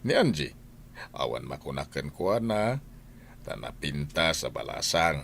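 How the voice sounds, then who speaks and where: A man acting a calm older father and head of a household in an Ilocano radio drama. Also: a bass voice, a little slow, creaky, heavily acted. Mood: calm, reasoning.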